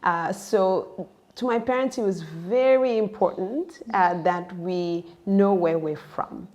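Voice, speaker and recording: A woman born in Senegal and raised in the United States, speaking reflectively as a podcast guest, with halting, thoughtful phrasing. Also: mid-range voice, darkish tone, dull audio. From born in Senegal, grew up in the United States. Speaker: interview guest, entrepreneur. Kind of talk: reflective personal podcast conversation.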